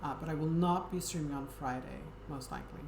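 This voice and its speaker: A woman hosting a livestream, talking casually to her viewers in a soft, friendly way and often pausing on an um. Her voice sits low. It echoes around the room over a steady background noise. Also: vocal fry. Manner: soft, friendly, hesitant with many ums. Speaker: Twitch streamer.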